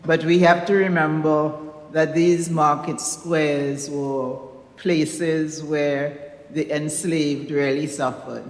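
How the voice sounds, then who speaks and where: Woman, author's prepared talk, measured, explanatory, courteous, echoey recital hall. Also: deep voice, fairly even intonation. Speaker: author presenting own work. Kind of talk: prepared talk to a live audience.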